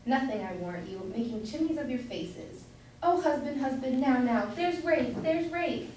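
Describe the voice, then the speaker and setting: A woman's voice: high voice, expressive, normal speed, a little mellow. A woman playing a simple, opinionated, doting grocer's wife, gossipy and exclamatory, in a staged reading of a period comedy. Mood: scolding, then excited. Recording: echoey room from far off, faint noise.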